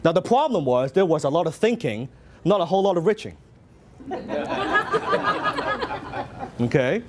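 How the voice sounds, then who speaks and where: Animated man with a Hong Kong accent. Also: a little high, moderate pace, a loud crowd, heavy background noise. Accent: Hong Kong Cantonese. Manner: animated storytelling, asks the audience questions. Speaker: business speaker. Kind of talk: motivational seminar talk.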